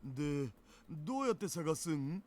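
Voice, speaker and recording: A man's voice: a little high, sing-song, brisk, darkish tone, slight background noise. An actor voicing a laid-back young male computer geek in a Japanese anime visual novel. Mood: puzzled. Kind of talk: Japanese anime game voice acting.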